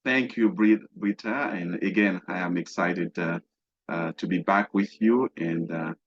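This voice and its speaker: A middle-aged man from Haiti, a guest business coach talking haltingly in an interview, with many fillers and restarts. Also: low voice. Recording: dull, dry lo-fi sound.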